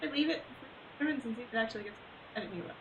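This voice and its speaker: A woman's voice: a little high, lively intonation, racing, highs cut off, heavy background noise. A woman streaming a game, reading the story aloud and joking casually along the way. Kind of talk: reading visual novel aloud with casual banter.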